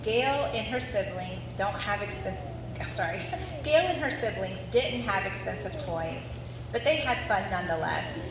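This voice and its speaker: A woman reading out a scripted family story before a live audience. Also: mid-range voice. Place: large reverberant room at a family gathering. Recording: very echoey hall with clear background noise.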